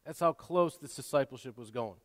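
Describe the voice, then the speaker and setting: A man's voice: mid-range voice, a little fast. Preaching man in a reverberant hall. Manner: swings from quiet asides to loud, impassioned exhortation; repeats and restarts words often. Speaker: pastor. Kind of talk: sermon.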